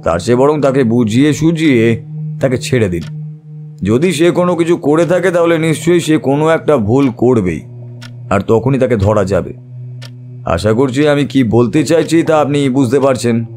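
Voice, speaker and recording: An actor playing a shrewd, composed male private detective in an audio drama, measured, analytical and authoritative. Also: medium pitch, a little mellow, faint music behind, natural voice. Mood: firm, instructing.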